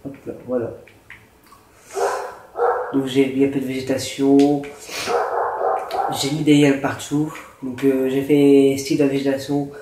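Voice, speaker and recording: A man's voice: medium pitch, level tone, measured pace, pauses often. A man with a cold and a sore throat, hosting a casual gameplay tutorial, explaining in a rambling, hesitant way. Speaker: gaming channel host. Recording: roomy echo with faint noise.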